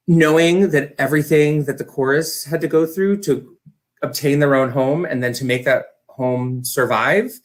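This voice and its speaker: A man with a mid-pitched voice speaks as a guest in a casual interview. He is enthusiastic and informal, rambling from one thought into the next. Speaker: interview guest, community theater director.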